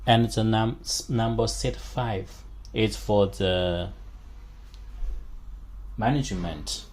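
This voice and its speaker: Male product demonstrator, non-native English speaker, slow and halting, lowish pitch, step-by-step instructional read. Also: a little mellow. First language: not a native English speaker. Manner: halting, simple phrasing in short chunks.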